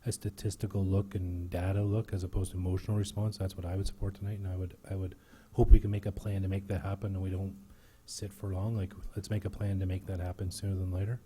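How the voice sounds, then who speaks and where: A man chairing a town council meeting, brisk and direct. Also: deep voice, little pitch movement, mellow.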